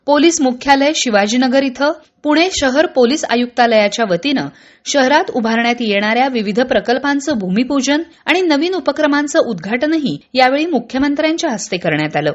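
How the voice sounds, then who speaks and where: A woman reads radio news. Her delivery is formal and measured, following a script. The sound is a little dull and band-limited. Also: sits high, animated. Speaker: radio newsreader.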